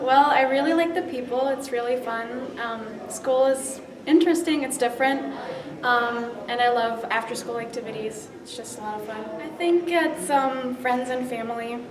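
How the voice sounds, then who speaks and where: A teenage exchange student from Germany, chatting casually with many ums. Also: female voice, fairly high pitch, echoey room, distant mic. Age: teens. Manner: relaxed, many ums and wells. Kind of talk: casual interview.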